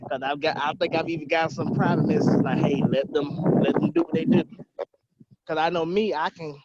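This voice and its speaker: A man's voice: a little high, lively intonation, fairly quick. A man in his twenties chatting on a video call from his phone, rambling and correcting himself as he goes. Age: 20s. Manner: rambling, self-correcting, upbeat. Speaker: program participant. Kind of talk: casual video call chat. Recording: dull phone audio with faint noise.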